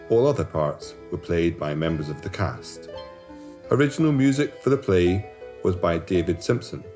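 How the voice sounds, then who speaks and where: A man with a very deep voice works as an announcer, reading scripted drama credits. Soft plucked guitar music plays behind him. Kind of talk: scripted narration.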